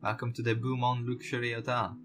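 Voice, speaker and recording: A man's voice: mid-range voice, little pitch movement, a little fast, lightly acted. Man reading as young female hostess, polite, haughty, visual novel character, guitar music behind. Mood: gracious. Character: genteel young woman party hostess, gracious but snobbish. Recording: voice over guitar background music.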